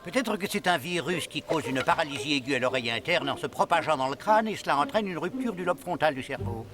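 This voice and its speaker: Man voicing a fussy, high-pitched cartoon creature. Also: lively intonation, fast talker, close to an everyday voice. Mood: pondering. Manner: fussy, anxious, exclamatory. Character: timid, bookish cartoon creature sidekick, fussy and learned. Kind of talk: French cartoon dub. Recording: music behind the voice.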